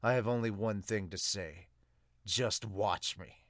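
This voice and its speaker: Man doing a stern, gruff superhero. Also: on the low side, slow, a bit bright, dry recording, studio quality, voice pushed down. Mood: resolute. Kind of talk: amateur manga dub.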